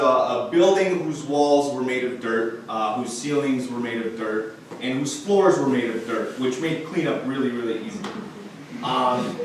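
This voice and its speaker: A man from Romania telling a childhood story on stage in a loose, halting way with frequent uh's. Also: mid-range voice. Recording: echoey room mic, far off, faint noise.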